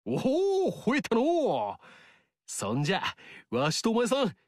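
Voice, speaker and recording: An actor playing a cheeky, folksy upstart warlord in a video game, speaking in a rustic dialect. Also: male voice, sits high, wildly swooping pitch, measured pace, a little mellow, dry recording, exaggerated voice. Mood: teasing. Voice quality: rustic dialect speech, teasing. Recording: dry studio recording.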